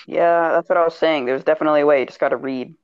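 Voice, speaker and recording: A man co-hosting a casual podcast over online voice chat while gaming, joking and talking in quick rambling bursts. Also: a little high, steady tone, clear, resonant tone, no echo, lo-fi sound. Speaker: podcast co-host.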